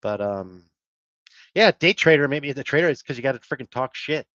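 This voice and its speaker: A man's voice: medium pitch, lively intonation, normal speed, a bit bright, dry recording, highs cut off. A middle-aged man hosting a livestream, chatting casually and rambling as he answers viewer comments. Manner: rambling, self-deprecating, with fillers and laughs. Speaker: livestream host.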